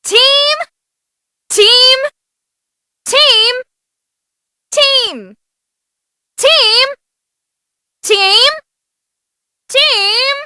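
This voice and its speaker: A woman's voice: very high voice, pushed and bright, no echo, exaggerated voice. A woman voicing a cute, bubbly female tournament announcer for a game, showy and gleefully relishing the violence like a hostess at an arena fight. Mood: shouting announcer. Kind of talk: theatrical arena match commentary for a game.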